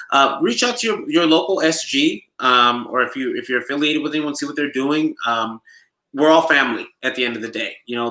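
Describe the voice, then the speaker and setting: A man's voice: medium pitch, sharp tone, reverberant, rough recording. A man chatting casually on a podcast as a show regular, laid-back and enthusiastic, with lots of fillers and restarts. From United States, Nigerian heritage. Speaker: supporter group DJ and show regular.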